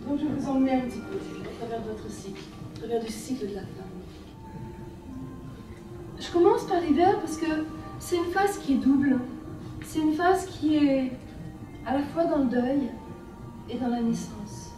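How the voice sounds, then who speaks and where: A woman from France leading a workshop, speaking in a gentle, lyrical way with many repeated phrases, in a French accent. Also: high voice, normal speed, pauses often. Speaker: workshop leader. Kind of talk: guided women's workshop talk. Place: workshop room at a festival. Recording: very echoey room, from far off, music behind.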